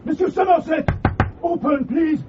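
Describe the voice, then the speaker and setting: An actor with a French accent playing an insistent, polite train conductor, calling out in a radio drama. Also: male voice, extremely high pitch, normal speed. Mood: urgent. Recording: muffled, noisy, train background.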